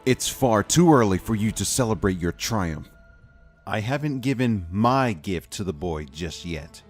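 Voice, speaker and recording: A man playing a defiant, good fairy guardian in an audio drama, speaking in a firm, deliberate way. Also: fairly low pitch, animated, soft background music. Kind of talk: fan audio drama acting.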